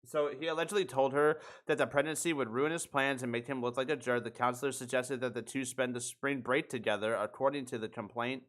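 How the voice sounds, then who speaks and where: A man with a bright, punchy voice at a medium pitch hosts a podcast. He talks casually through a news story, swearing freely and leaning into his words with indignant emphasis. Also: fairly even intonation, a little fast, hardly any pauses. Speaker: podcast host.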